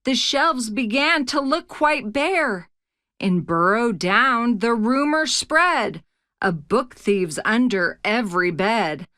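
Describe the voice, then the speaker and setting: A woman narrating a rhyming children's picture book as a read-aloud host, with a measured, expressive storytelling delivery. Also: a little high, no echo, clean studio sound.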